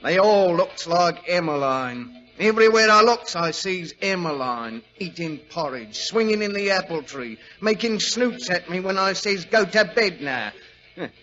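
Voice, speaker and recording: Casting: chatty English railway guard, working-class, sniffly, high swooping voice, vintage radio play, muffled sound. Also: male voice, animated, unhurried, sharp tone, full character voice. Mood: rambling, wistful. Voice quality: sneezy, stuffed-up with a cold. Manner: chatty, folksy, rambling. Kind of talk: 1940s radio acting.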